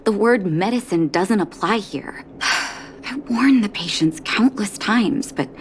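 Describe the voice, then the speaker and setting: A woman playing a principled, caring doctor in a video game, measured and serious with weary pauses. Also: medium pitch, slight background noise, close to an everyday voice. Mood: firm, disapproving.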